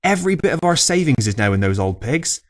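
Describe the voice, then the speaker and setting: A man voicing an old Cornish fisherman telling a tall pub tale in animated, exclamatory dialect. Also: mid-range voice, fast, voice pushed up, lightly acted. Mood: indignant.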